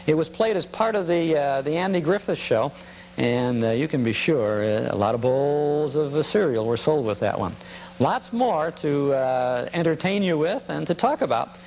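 A man comments informally as a nostalgia show host. His voice is fairly high and halting, over a slightly noisy, humming tape. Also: expressive, darkish tone.

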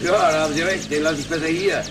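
A man's voice: a little high, fairly even intonation, quick pace, music in the background, lightly acted. A man playing an eager, impatient, commanding police chief inspector in a radio play. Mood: businesslike. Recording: distant voice, loud helicopter noise. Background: helicopter noise.

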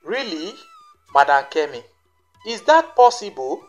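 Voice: medium pitch, very animated, a little slow. Storybook village girl in her youth, read by a narrator: hopeful, grateful, trusting, over music. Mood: amazed hope. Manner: eager, grateful. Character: hopeful, naive young village woman.

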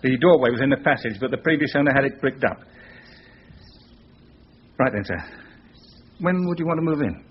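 An actor playing a polite, deferential British house agent in a radio thriller. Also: male voice, mid-range voice, lively intonation, moderate pace, long pauses, husky. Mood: businesslike. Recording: muffled recording, faint noise.

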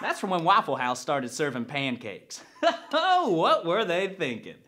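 Man doing a folksy farmer, genial, drawling sales patter, lively intonation, comic. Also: a little high, unhurried, full character voice. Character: folksy, genial farmer hawking wares at market. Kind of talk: character comedy monologue.

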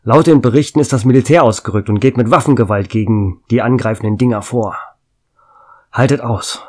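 A man's voice: medium pitch, a little fast, a little mellow, lightly acted. A man playing a small-town male radio host in a radio play, urgently warning listeners. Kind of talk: amateur radio play acting.

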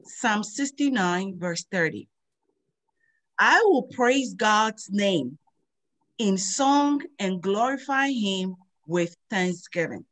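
A middle-aged woman, hosting a children's Bible lesson over a video call and slowly drilling memory verses in a sing-song teacher voice. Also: medium pitch, animated, a bit bright. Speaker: host of a children's Bible program.

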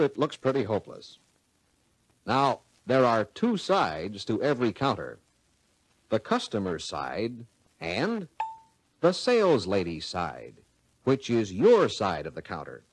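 A man's voice: medium pitch, a little mellow, slightly rough, dry recording, poor audio. A man with an American accent narrating a scripted 1940s instructional film in a folksy, instructive way, speaking straight to the listener, on a vintage film soundtrack.

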